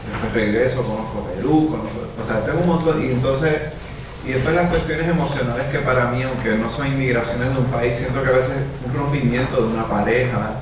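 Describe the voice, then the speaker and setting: A Puerto Rican man in his thirties speaks at a book presentation through a handheld mic, rambling and filling his pauses. The sound comes from a distance, in a very echoey theater. There is clear background noise. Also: medium pitch. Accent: Puerto Rican Spanish. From Puerto Rico. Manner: rambling, many fillers like "o sea". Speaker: visual artist and musician. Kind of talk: casual talk at a book presentation. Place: small theater with an audience. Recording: echoey hall from far off, noisy.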